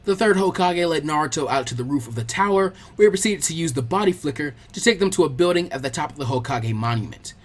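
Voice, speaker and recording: A man giving an expressive scripted narration of a fan fiction story as an audiobook. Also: on the high side, quick pace, few pauses.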